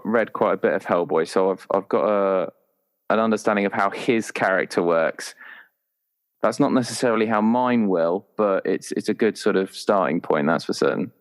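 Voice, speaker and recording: Low-voiced man chatting casually. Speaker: podcast guest, role-player. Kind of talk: casual chat. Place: small cupboard used for remote recording.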